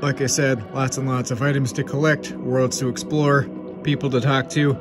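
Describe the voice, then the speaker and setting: A man with a mid-range voice talks through game picks in a casual, upbeat way. He sounds a little stuffed up from congestion. Clear background music plays under his voice. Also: medium pitch, level tone. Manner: upbeat, chatty, quick asides. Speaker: gaming channel host. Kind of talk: casual game recommendations to camera. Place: room with game shelves, indoors.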